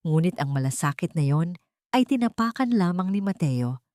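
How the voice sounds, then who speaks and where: A woman narrates a melodramatic love story in a solemn, emotive way. Her voice sits a little low, and the recording is dry and close, with no room sound. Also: lively intonation, moderate pace, warm tone, no room sound. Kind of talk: dramatic scripted story narration.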